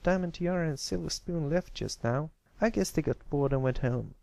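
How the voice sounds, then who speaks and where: A man's voice: on the high side, animated, moderate pace, vocal fry, darkish tone, no room sound, voice pushed up. A man voicing a friendly, eager young country girl pony with a folksy, plain-spoken manner, in a story reading. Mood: reassuring.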